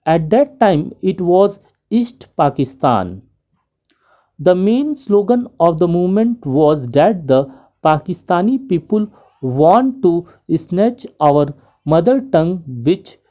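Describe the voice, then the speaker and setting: A woman with an Indian English accent reads a parliamentary speech as shorthand dictation. She speaks very slowly, in measured chunks with steady pauses, in a mellow, somewhat low voice. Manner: deliberate phrase-by-phrase delivery with pauses.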